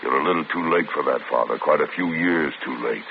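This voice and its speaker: Deep, threatening convict; 1940s radio play, tinny broadcast with hiss. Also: male voice, fairly quick. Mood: menacing. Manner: tough gravelly street talk, clipped threats. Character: hardened male convict, desperate and menacing.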